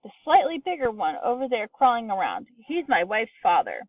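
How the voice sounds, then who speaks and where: A woman's voice: fairly high pitch, sing-song, normal speed, dry recording. A woman reading aloud, voicing a cheerful, easygoing father who speaks matter-of-factly. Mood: amused. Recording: distant tinny phone-like sound.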